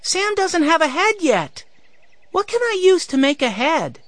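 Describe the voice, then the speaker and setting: Man acting little boy of six, very high pitch, eager, exclaiming, bouncy intonation, children's storybook. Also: sing-song, measured pace, fairly bright tone, no room sound, heavily acted. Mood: excited. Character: six-year-old boy, excitable, hungry, eager. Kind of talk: children's audiobook character voice.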